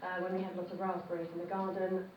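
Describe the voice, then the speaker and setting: A woman's voice: on the low side, completely flat delivery, quick pace, far from the mic. A woman from the Czech Republic with a Czech accent, presenting a dish as a home cook in a short film. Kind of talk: cooking presentation to camera. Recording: dull, roomy film playback, faint noise.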